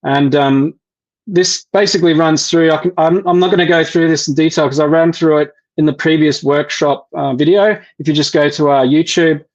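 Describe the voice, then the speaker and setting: A man walks viewers through a software demo in a live online session, stopping and restarting often with fillers. His voice sits a little high for a man. The audio is dull and lo-fi, like a call recording. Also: steady tone. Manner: halting, many fillers and restarts. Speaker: developer relations presenter.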